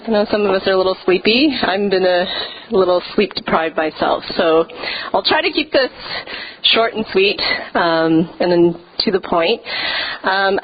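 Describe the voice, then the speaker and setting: A woman from Canada, a pastor telling her personal faith story at a church service in a casual, halting, conversational way. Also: medium pitch, a little hiss or hum. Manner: casual and conversational, with frequent hesitations. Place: church sanctuary. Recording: muffled lo-fi church recording.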